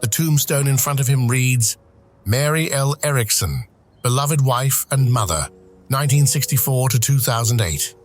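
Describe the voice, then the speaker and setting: Male narrator, mid-pitched, reading scene directions for an audio drama with music behind. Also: expressive, normal speed. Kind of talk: scripted screenplay narration.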